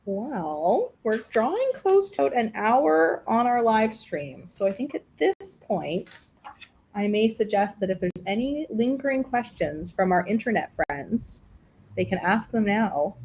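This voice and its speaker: A woman's voice: fairly high pitch, big pitch swings, a little slow, darkish tone, husky. A woman hosting a casual live-stream chat in a yarn shop, asking playful questions and laughing often. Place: yarn shop with a small gathering.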